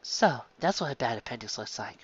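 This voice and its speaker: A woman voicing a calm, dry, composed adult woman pirate from a cartoon. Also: rumbling low, brisk, breathy edge, dry recording, muffled recording, voice pushed down, exaggerated voice. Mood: calm, curious.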